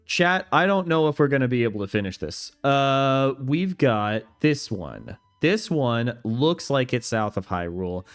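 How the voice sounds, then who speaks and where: Young male streamer, relaxed game commentary, lively pitch, faint music underneath. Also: a little slow, hardly any pauses. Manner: chatty, reads chat aloud, laughs easily. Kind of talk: casual gameplay commentary.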